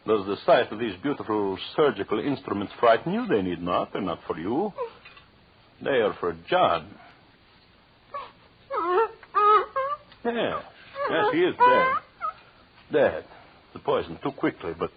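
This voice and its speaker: An actor playing a jealous, murderous husband in an old radio drama, with a theatrical, mocking menace and formal phrasing. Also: male voice, lowish voice, huge pitch swings, moderate pace, pauses often, a little hiss or hum. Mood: mocking, gloating, then bitter, gloating. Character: vengeful, jealous husband, cruelly gloating murderous anthropologist. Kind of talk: 1940s radio drama acting. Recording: dull, lo-fi old radio recording.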